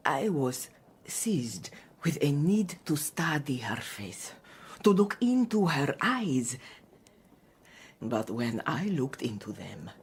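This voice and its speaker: An actress playing a haunted, intense Victorian countess in a radio drama, speaking haltingly with a husky, breathy voice. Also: female voice, a bass voice, a little mellow, full character voice. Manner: halting, theatrical delivery in broken phrases. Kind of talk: period radio drama acting.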